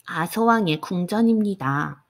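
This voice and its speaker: A woman's voice: lowish voice, expressive, measured pace. A woman voicing a cheerful, chatty young boy page who talks in a polite, formal way, in a bedtime audiobook. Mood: politely cheerful. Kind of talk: audiobook character voice.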